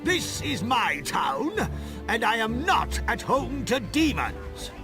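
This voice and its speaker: Whiny, pompous fat governor. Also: male voice, very high voice, big pitch swings, slow pace, exaggerated voice. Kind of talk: video game cutscene acting. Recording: game audio with music behind.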